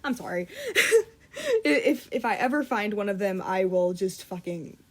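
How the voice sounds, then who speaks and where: Giggly young woman pushing her voice lower. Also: lively intonation, moderate pace. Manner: chatty, self-deprecating, giggly, swears casually. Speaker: vlogger. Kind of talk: casual rambling monologue. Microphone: computer mic.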